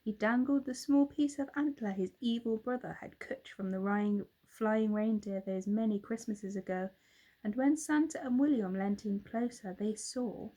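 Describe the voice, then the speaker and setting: A woman narrating a children's storybook in a dramatic, expressive way. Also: on the high side, normal speed, low-quality recording. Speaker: storybook reader, teacher.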